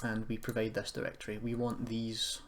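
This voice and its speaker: Guy with a lowish voice walking thru a computer tutorial, kinda hesitant and self-deprecating, bit of noise n keyboard clicks. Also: monotone, normal speed, fairly bright tone. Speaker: tutorial presenter. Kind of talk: informal software tutorial, screen walkthrough. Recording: noisy room with keyboard clicking.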